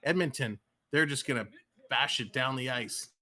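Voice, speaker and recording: A man's voice: mid-range voice, normal speed, sharp tone. Chatty Canadian man calling hockey. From Toronto area, Canada. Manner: chatty, reads chat aloud, laughs easily. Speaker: livestream play-by-play host. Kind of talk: casual play-by-play with live chat.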